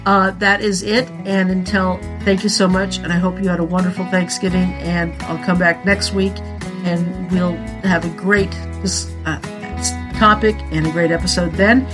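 A woman hosting her own podcast in casual solo talk, husky and losing her voice from allergies, chatty and rambling with frequent laughs. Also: lowish voice, flat delivery, moderate pace, over music. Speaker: podcast host.

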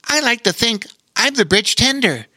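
A cheerful little bark spider, as a man puts him on, talking in a high, squeaky, bright voice that bounces all over. He is frank and eager, and the recording is close and dry. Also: big pitch swings, moderate pace, no room sound, heavily acted. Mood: chatty. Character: friendly chatty male bark spider. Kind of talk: storybook reading.

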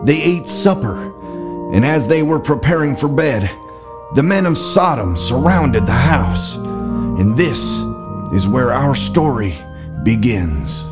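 A man's voice: mid-range voice, big pitch swings, slow pace, loud music behind the voice. A man playing a solemn radio narrator of a Bible story in a scripted comedy parody.

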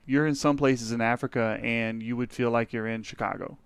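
A man's voice: mid-range voice, fairly even intonation, fairly quick, creaky, clear, resonant tone, no room sound. A man chatting casually as a guest, easygoing and agreeable, often laughing along. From United States. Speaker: guest, strength coach. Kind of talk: casual chat.

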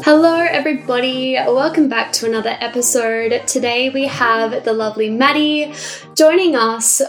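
A woman's voice: a little high, normal speed, over music. Giggly woman co-hosting a podcast. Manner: giggly, animated storyteller. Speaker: podcast co-host, psychotherapist. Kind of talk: casual chat.

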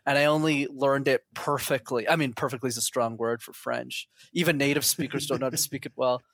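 A man's voice: mid-range voice, fairly even intonation, brisk, a bit bright, no echo. A man telling his story as an interview guest in a candid, chatty, casual way. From Montreal, Canada.

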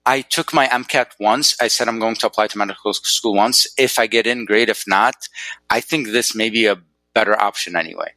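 Chatty middle-aged man, bright dry voice. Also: fairly low pitch, fairly quick, dry recording, rough recording. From Northwest Wisconsin, USA. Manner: chatty, frequent fillers and restarts. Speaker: guest, neurologist. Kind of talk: casual interview chat.

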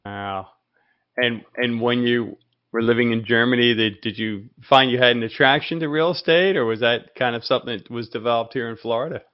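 A man's voice: medium pitch, fairly quick, highs cut off. A man hosting a podcast, interviewing a guest with upbeat, loosely phrased questions and short affirmations. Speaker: podcast host. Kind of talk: casual interview chat.

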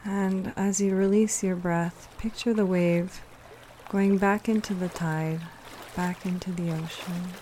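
A woman leading a guided meditation, giving soothing, unhurried instructions with pauses. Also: fairly low pitch, steady tone, dark tone, a little hiss or hum.

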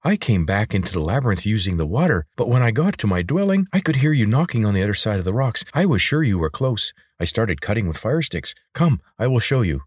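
A man's voice: on the low side, sing-song, brisk, few pauses, slightly rough, no room sound, clean studio sound, pitched above the performer's own voice. A man voicing a small, loyal, fretful lizard creature in an audiobook, with formal, deferential phrasing. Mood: eager. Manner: formal, deferential phrasing addressing a master as sir. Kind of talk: audiobook character voice.

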